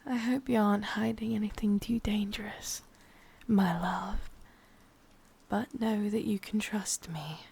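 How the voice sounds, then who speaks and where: A woman voicing a sadistic, possessive witch lover in a breathy, husky whisper with an English accent, intimate and teasing, for an ASMR roleplay. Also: mid-range voice, steady tone, measured pace, a little mellow, exaggerated voice. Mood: soothing, possessive.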